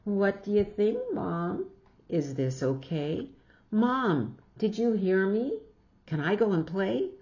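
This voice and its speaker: Carefree storybook kid played by an adult woman: raised pitch, eager, animated, pleading. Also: takes it slowly, lo-fi sound. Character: eager, carefree little girl who loses things. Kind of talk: picture-book read-aloud.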